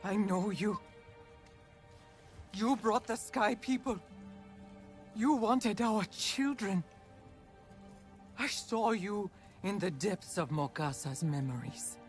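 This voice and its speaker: A man playing a grave, accusing alien in a video game, stern and deliberate. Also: high voice. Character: grave, accusing alien who recognizes a betrayer. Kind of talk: video game cutscene acting. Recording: music underneath.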